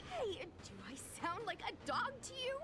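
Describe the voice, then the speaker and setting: A woman's voice: very high voice, very animated, moderate pace. A woman playing a brash, cheeky young female knight-healer who gives commands in a fantasy video game. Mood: bossy, impatient. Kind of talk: animated video game voice acting. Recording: game music behind.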